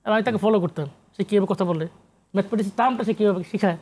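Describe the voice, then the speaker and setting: A man's voice: high voice, very fast, darkish tone, husky. A Bangladeshi man speaking as an interview guest, a professor explaining emphatically in a lecturing way and mixing in English academic terms. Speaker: guest, professor and literary researcher. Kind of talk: animated TV interview discussion.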